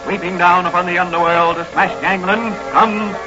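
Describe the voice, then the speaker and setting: A man's voice: high voice, steady tone, normal speed, over loud music. A male announcer reading scripted narration in a dramatic, declamatory 1940s radio serial style. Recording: muffled, noisy old-time radio recording.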